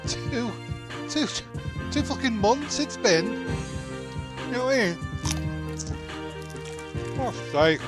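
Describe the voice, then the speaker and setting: A man's voice: very high-pitched, expressive, slow, pauses often, exaggerated voice. A man playing an exasperated, foul-mouthed character ranting repetitively in a comedy radio play monologue. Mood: indignant. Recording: loud music over the voice.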